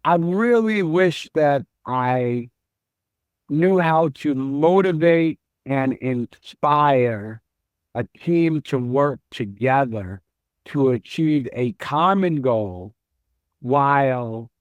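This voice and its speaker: A middle-aged man, a chief executive, answering interview questions in a slow, measured and reflective way. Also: on the high side, clear, resonant tone, no echo. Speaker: CEO guest.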